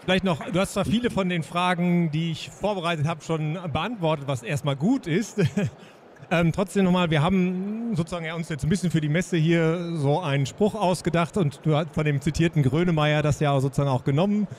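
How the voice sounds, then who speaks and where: A man's voice: fairly high pitch, brisk, a little mellow, a little hiss or hum. A man in his fifties hosting a trade fair session on a handheld mic, friendly and hesitant with many fillers. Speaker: session host. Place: trade fair booth indoors.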